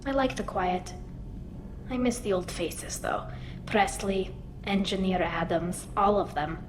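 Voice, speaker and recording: A woman plays an alien ship's engineer in a sci-fi game, loyal but guarded. Her mid-range voice is soft and measured, earnest in tone, with a clear engine rumble behind it. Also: lightly acted. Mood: wistful, concerned. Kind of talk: video game character acting.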